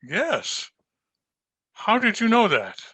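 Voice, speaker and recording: An actor playing a shaken country doctor, urgent and halting, in old-time radio drama style. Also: male voice, high-pitched, wildly swooping pitch, bright, forceful tone, breathy edge, full character voice.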